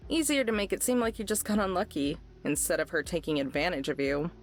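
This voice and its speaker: A woman's voice: lowish voice, fast, soft background music, close to an everyday voice. A woman playing a guarded but caring young drifter in a visual novel, speaking in a dry, casual way. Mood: blunt.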